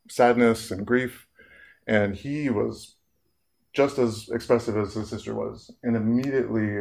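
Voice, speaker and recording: A man speaking as a therapist in an earnest, measured public talk, pausing often and using fillers. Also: on the low side, vocal fry, a little mellow.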